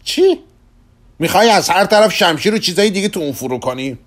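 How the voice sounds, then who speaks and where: A man's voice: high voice, expressive, voice pushed up. A man voicing a restless middle-aged male professor who craves romance, whiny and pleading. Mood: impatient.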